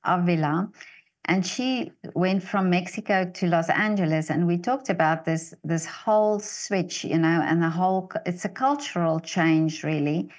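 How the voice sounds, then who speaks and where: Lady host asking stuff, lots of ums, kinda low voice. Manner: halting, with many fillers and restarts. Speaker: interview host. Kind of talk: casual interview chat.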